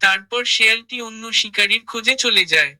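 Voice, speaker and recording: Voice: medium pitch, brisk, pushed and bright, rough recording. A narrator reading a scripted children's story.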